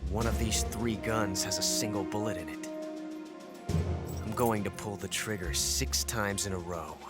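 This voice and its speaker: A man's voice: fairly low pitch, unhurried, lightly acted. A man playing a smug young gunslinger. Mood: taunting. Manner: smug, taunting. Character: cocky young male gunslinger soldier, taunting. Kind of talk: video game cutscene acting. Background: game score music.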